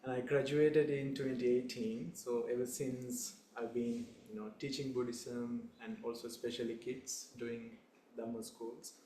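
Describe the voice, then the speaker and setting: A young man from Sri Lanka, a Buddhist monk, leading a guided meditation in a calm, unhurried way with short phrases. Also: mid-range voice, echoey room, slight background noise, poor audio.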